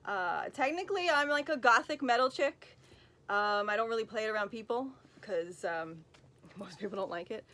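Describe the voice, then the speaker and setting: A woman's voice: fairly high pitch, expressive, moderate pace, sharp tone. A woman with a Brooklyn accent, chatting casually and rambling with fillers as she answers fan questions from her bed, recorded on a phone. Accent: Brooklyn New York. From Brooklyn, New York. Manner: chatty, rambling, self-deprecating, lots of fillers and asides.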